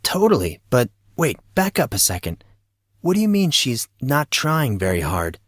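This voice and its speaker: Man playing a husky, animated teenage schoolboy. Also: mid-range voice, moderate pace, dry recording, clean studio sound. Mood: playful, curious. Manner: informal, youthful slang. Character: teenage schoolboy, emotional and bantering with close friends. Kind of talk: audio drama acting.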